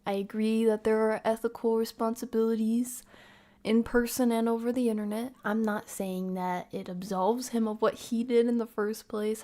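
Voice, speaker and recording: A woman's voice: medium pitch, warm tone. Sardonic woman streamer, casual commentary. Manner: sarcastic, teasing asides. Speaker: streamer reacting to a video.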